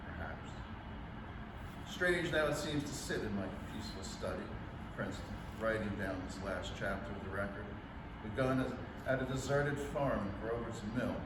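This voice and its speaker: A man acting a grave, reflective scientist who survived an alien invasion, in a solemn, measured radio drama monologue. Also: on the low side, close to an everyday voice. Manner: solemn, measured, literary delivery. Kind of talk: 1938 radio drama monologue, staged reading. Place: live staged reading in a library. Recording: echoey room from far off, loud noise.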